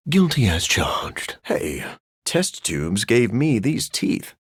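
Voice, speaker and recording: A brooding living-vampire scientist for a video game. His voice is low and husky, swinging widely in pitch through dry, self-deprecating, formally worded lines. He sounds measured and learned. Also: wildly swooping pitch, no room sound, exaggerated voice. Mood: dry sarcasm.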